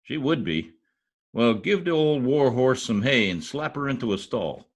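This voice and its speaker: Radio comedy husband, played by a man: cheerful folksy braggart, husky, swooping delivery. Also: mid-range voice, very animated, moderate pace. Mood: wisecracking. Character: boastful wisecracking husband, cheerful blowhard. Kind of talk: old-time radio comedy acting. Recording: home video-call recording.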